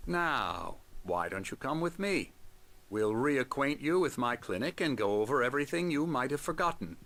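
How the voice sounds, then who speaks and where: An actor playing a middle-aged psychiatrist in a video game cutscene, measured, condescending and outwardly reassuring. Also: male voice, mid-range voice, sing-song, a bit bright. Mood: soothing, patronising. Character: smooth, condescending male psychiatrist running a clinic.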